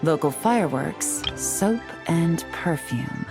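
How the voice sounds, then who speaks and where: Low-voiced, shrewd woman agent. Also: lively intonation, takes it slowly, over music, exaggerated voice. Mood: composed, businesslike. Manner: poised, polite, sly. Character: cool, shrewd young woman intelligence agent, composed and teasing. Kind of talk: anime video game voice acting.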